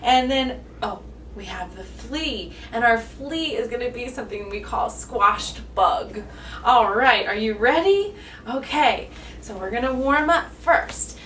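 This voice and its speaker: A woman with a high voice teaches yoga to young children in an encouraging singsong. She takes it very slowly, spelling out each step in simple words. She sounds some way from the mic in an echoey room. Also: lively intonation, fairly bright tone, a little hiss or hum. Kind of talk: instructing young children through poses, step by step. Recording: echoey room, distant mic, music behind.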